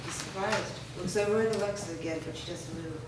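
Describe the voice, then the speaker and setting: A woman with a slightly low voice narrates screenplay stage directions. She is heard from a distance in a very reverberant room, with noise behind her. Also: a little fast.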